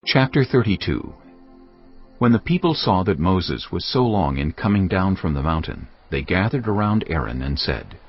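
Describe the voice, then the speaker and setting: Deep-voiced male scripture narrator. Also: moderate pace, breathy edge, soft background music. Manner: steady, formal storytelling. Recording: dull lo-fi audio with loud background score.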